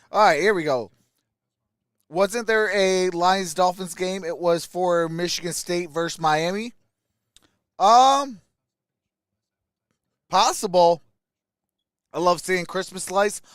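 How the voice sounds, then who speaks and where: Guy doing casual sports stream commentary, high clear voice, really dry close sound. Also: dry recording. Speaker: sports livestream host reacting to a game. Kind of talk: casual live play-by-play and chat with viewers.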